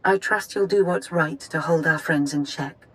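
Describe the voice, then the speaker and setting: A calculating female adviser, played by an actress in a video game. She speaks in a lowish voice with formal, measured, articulate phrasing, weighing each point. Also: close to an everyday voice, poor audio. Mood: cautious, calculating.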